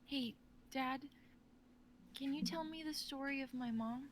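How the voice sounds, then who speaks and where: A woman voicing a frightened, dying young girl who pleads softly, in a live dramatic reading. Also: high voice, a little slow, a little hiss or hum. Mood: pleading.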